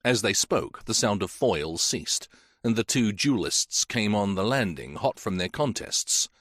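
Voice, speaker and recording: A man's voice: fairly low pitch, animated, a little slow, fairly bright tone, no echo, studio quality. A man narrating a mystery audiobook.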